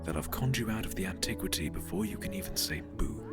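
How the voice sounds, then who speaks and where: A man playing a formal, insistent, mysterious gentleman in his forties in an audio drama, with polished, courtly speech. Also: sits low, quick pace, lightly acted. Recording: prominent music bed behind the voice.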